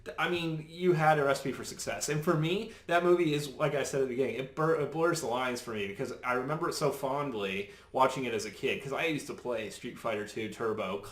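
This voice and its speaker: A man's voice: mid-range voice, a little fast, few pauses. A man on a podcast panel, giving his opinions in casual conversation. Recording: roomy, echoing room sound.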